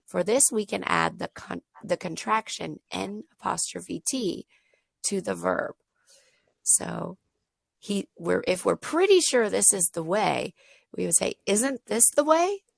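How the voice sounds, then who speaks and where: A woman tutoring a child in English online, slightly hoarse and losing her voice, modeling sentences slowly and clearly. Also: mid-range voice, animated, dry recording. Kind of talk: patient teaching over a video lesson.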